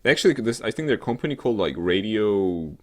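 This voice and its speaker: A man co-hosting a gaming show in casual, unscripted chat, rambling and halting, with a joking way of talking. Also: fairly low pitch, expressive, darkish tone. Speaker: co-host of a weekly gaming news show.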